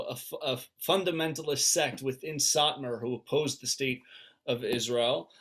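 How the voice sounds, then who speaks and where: An American man in his thirties speaking informally on a video call as a rabbi and author, often repeating and restarting his phrases. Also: mid-range voice. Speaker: rabbi and author giving a book talk. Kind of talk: informal personal talk to an online audience. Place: indoors at home on a video call.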